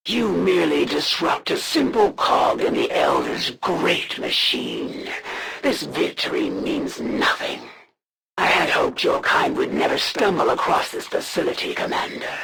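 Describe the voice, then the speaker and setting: A man playing a proud, honor-bound alien assassin in a video game, coldly menacing, with formal, haughty, deliberate speech. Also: fairly high pitch, creaky, sharp tone, slight background noise, exaggerated voice. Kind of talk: scripted video game villain voice lines.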